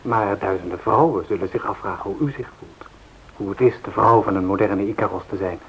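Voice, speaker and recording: An actor playing a pushy, glib newspaper reporter in a radio drama, asking insistent, flowery questions. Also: male voice, lowish voice, brisk, a bit bright, lightly acted, low-quality recording. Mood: insistent, eager. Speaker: interviewer. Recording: hissy old recording.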